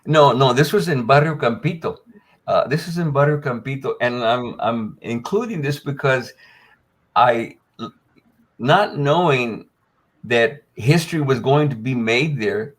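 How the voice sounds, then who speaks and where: A man's voice: medium pitch, animated, measured pace. An older man, telling a long personal story as a guest on a panel, with many fillers and restarts. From United States.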